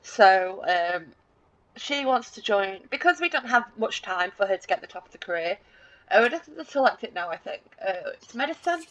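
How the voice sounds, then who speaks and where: A woman's voice: mid-range voice, animated, normal speed, fairly bright tone, lo-fi sound. Rambling woman narrating her game. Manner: chatty, rambling, many fillers and restarts; self-deprecating, lightly laughing. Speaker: let's play gamer. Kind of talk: casual gameplay commentary.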